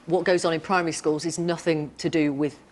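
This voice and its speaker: Lower-voiced British woman hosting a debate. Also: fairly even intonation, brisk, a little mellow. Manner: interrupting, probing with direct questions. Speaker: talk show host. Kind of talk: moderating a studio debate.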